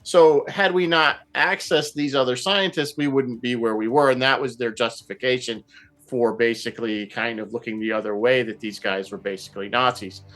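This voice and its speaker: A man in a casual roundtable discussion, often repeating words as he thinks out loud. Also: mid-range voice, steady tone, moderate pace. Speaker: panelist in a TV series discussion.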